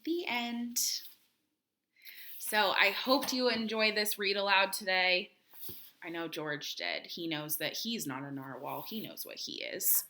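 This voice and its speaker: A woman reading a children's picture book aloud expressively, with dramatic pauses, chatty asides and questions for her young listeners. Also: medium pitch, sharp tone, a little hiss or hum. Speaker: children's read-aloud host.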